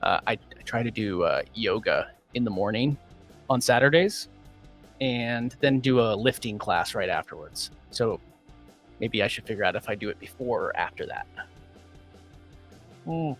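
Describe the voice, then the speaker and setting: A man hosting a casual livestream, upbeat and rambling with many fillers, his voice going a little hoarse. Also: lowish voice, lively intonation, normal speed, pauses often, fairly bright tone, faint music behind. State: losing his voice. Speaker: livestream host.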